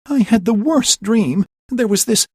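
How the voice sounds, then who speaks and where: A man voicing a frightened, fierce young woman with a breathy voice and high, shrill outcries. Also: wildly swooping pitch, unhurried, no echo, clean studio sound, heavily acted. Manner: high, shrill cries of alarm and accusation.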